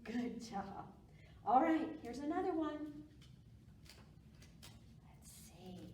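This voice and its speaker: A woman reading aloud to young children at a storytime, with simple, encouraging, expressive delivery. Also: high voice, extremely slow. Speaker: librarian storytime reader. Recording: roomy, distant mic with background noise.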